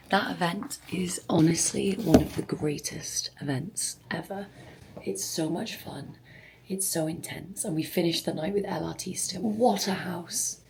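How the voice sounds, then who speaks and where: A woman chatting to camera in a travel vlog, her voice hoarse and worn out, sometimes dropping to a hush. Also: fairly low pitch, a little hiss or hum, poor audio. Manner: chatty, excitable, talking in a hush at times. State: hoarse, tired voice. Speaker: vlogger.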